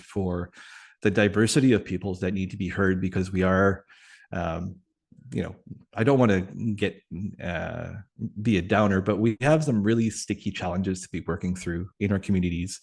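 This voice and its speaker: A man from Atlantic Canada whose first language is French, talking as a guest on a video call, reflective and pausing often with fillers. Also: fairly low pitch, darkish tone. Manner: thoughtful, many pauses and fillers. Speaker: guest, community facilitator. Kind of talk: reflective interview conversation.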